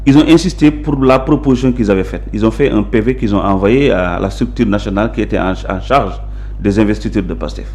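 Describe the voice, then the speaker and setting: A man's voice: lowish voice, fairly quick, darkish tone. A man with a Senegalese accent, a guest in a conversational interview, explaining his positions in an assertive, emphatic way. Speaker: interview guest, local politician. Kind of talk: conversational interview, mixing Wolof and French.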